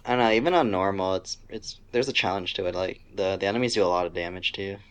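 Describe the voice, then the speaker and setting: A man's voice: low-pitched, fast talker. A man co-hosting a podcast, chatting casually about video games in an informal, complaining way. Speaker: podcast co-host.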